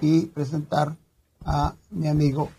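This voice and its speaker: Gravelly, raspy-voiced interview host. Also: male voice, fairly high pitch, level tone, slow pace, dark tone. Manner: friendly, short prompting questions. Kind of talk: conversational interview.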